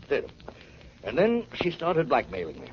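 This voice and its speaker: An actor in a 1940s radio drama playing a smug, glib small-time politician, fussy and ingratiating. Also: male voice, mid-range voice, huge pitch swings, moderate pace. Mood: smug, chatty. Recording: dull old radio recording, hiss.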